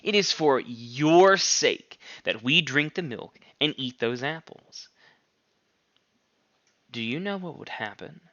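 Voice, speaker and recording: A man voicing a persuasive pig orator in a storybook, imploring and self-justifying in a measured speechmaking manner. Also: mid-range voice, bright, forceful tone, no room sound, dull audio, exaggerated voice. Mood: ominous, pleading. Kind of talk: storybook character reading.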